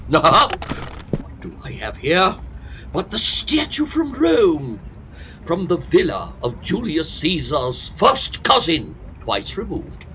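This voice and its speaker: Fussy, swooping old Victorian professor. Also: male voice, on the high side, wildly swooping pitch, takes it slowly, sharp tone, a little hiss or hum, heavily acted. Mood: proud, boastful. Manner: theatrical, pompous, fretful. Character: fussy, self-pitying hypochondriac old Victorian antiquarian professor. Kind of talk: comic audio drama acting.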